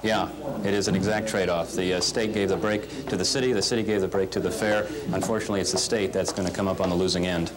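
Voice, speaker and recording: Fast-talking male TV news reporter, crowd behind. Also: low voice, fairly even intonation. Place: city council chambers. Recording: crowd chatter behind, local TV broadcast. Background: crowd murmur.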